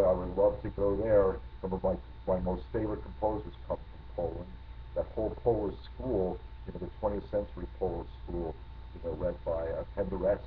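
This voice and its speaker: A middle-aged man from New Jersey, a guest composer telling rambling, reflective anecdotes with many restarts. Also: lowish voice. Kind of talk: storytelling interview answers. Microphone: video call. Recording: tinny lo-fi line with faint noise.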